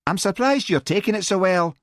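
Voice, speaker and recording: A man's voice: medium pitch, expressive, normal speed, no room sound, studio quality, voice pushed up, natural voice. A man voicing a sour, contemptuous policewoman in an audiobook, with a whining, sing-song Scottish accent. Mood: offended.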